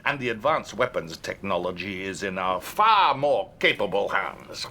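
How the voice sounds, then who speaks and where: A man's voice: lowish voice, big pitch swings, normal speed, sharp tone, a little hiss or hum, exaggerated voice. An actor playing a self-assured, triumphant male Soviet commander in a dramatic video game cutscene.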